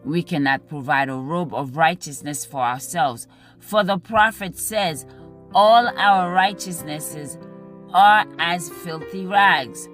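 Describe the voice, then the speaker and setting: Woman, slightly low voice, very slow measured pace, devotional scripture reading, music underneath. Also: fairly bright tone. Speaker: devotional reader.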